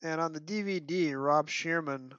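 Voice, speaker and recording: Higher-pitched man, dull lo-fi recording. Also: normal speed, a bit bright, no echo. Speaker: podcast host. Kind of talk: casual fan discussion.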